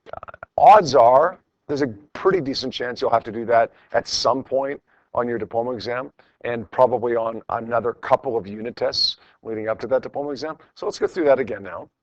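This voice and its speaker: Middle-aged man as an encouraging, informal schoolteacher; mid-range pitch, lecturing with repeated phrases, captured slightly muffled. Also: no room sound. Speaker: high school physics teacher. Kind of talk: classroom lecture, working through problems with students. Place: classroom with students.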